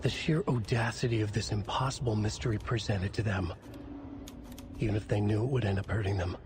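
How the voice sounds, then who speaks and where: An actor playing a brooding novelist narrating a noir thriller, deliberate and ominous, with dramatic pauses. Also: male voice, lowish voice, steady tone, background noise, lightly acted.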